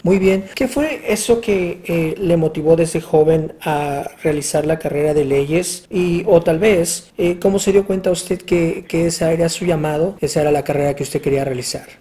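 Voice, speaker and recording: An interviewer asking measured questions, with hesitant fillers. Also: medium pitch, dark tone.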